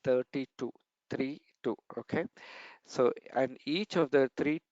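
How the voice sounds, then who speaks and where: A man's voice: medium pitch, a little slow, a little mellow, dry recording, highs cut off. A man giving a technical training lesson over slides, explaining step by step and repeating key points.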